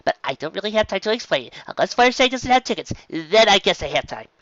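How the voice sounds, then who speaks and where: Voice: mid-range voice, very animated, quick pace, fairly bright tone, no room sound, muffled recording, pitched above the performer's own voice. A reader voicing a flustered, boastful female unicorn pony in a storybook reading, stammering and blurting urgently. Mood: frantic, hurried.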